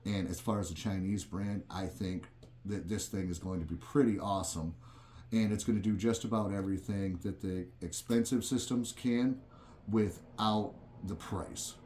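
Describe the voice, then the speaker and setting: A man's voice: low-pitched, steady tone, normal speed, darkish tone, slight background noise. A man gives a casual, plain-spoken product review as a vlog host, talking conversationally in an echoing room. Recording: roomy, echoing room sound.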